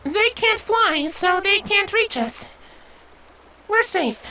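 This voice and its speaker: A man's voice: very high voice, sing-song, slow pace, background noise, pitched above the performer's own voice, full character voice. A man voicing a timid young female changeling filly in an anxious, hesitant manner for a storybook reading. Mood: hopeful, nervous. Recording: music behind the voice.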